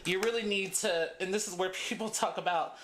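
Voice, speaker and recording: A woman's voice: mid-range voice, brisk, fairly bright tone, roomy sound, slight background noise. A woman in her thirties chatting casually as she braids her hair for her vlog, laughing often between thoughts. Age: 30s. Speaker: beauty vlogger.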